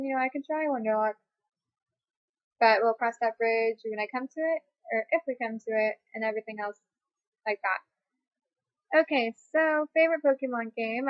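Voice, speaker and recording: A woman's voice: on the high side, dry recording. Chatty young woman on lo-fi webcam. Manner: rambling, chatty, many fillers and restarts. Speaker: YouTuber. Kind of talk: casual facecam Q&A. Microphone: webcam mic. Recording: dry, dull lo-fi webcam audio.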